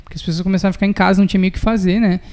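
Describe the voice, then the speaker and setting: Young guy from southern brazil w a gaúcho accent, highish creaky voice, casual podcast chat. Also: little pitch movement, racing, darkish tone. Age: young adult. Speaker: podcast guest, musician and music teacher. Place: small indoor podcast studio. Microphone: close studio mic on a boom arm.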